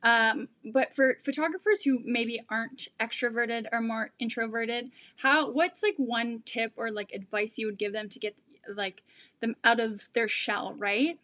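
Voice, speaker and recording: A woman's voice: fairly high pitch, fairly even intonation, a little slow, pushed and bright, no echo. A young woman from Canada hosting a video-call interview, talking in a hesitant, searching way. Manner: hesitant, lots of fillers and restarts. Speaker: host. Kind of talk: casual livestream interview. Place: room at home.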